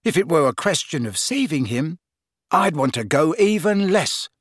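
A man's voice: medium pitch, very animated, normal speed, slightly rough, no echo, studio quality. A man voicing a blunt, grumbling teenage boy with an indignant, incredulous manner. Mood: firm, sardonic.

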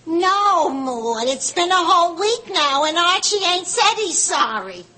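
A shrill, naive New York housewife. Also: female voice, very animated, measured pace, bright, forceful tone, heavily acted, poor audio. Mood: wounded. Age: middle-aged. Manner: earnest, plaintive, simple-spoken. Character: naive, saintly, sensitive middle-aged working-class housewife. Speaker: sitcom actor. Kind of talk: sitcom acting before a studio audience.

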